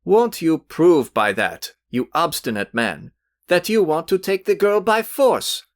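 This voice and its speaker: A man's voice: medium pitch, animated, unhurried, a bit bright, no room sound, clean studio sound. A man reading aloud voices a brash, insolent young man who talks hotly with a raised voice. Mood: heated.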